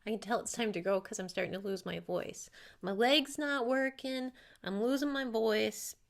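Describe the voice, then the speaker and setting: A woman's voice: on the high side, moderate pace, vocal fry. A woman working as a psychic medium, talking casually through viewer questions in a loose, chatty way with many fillers and asides.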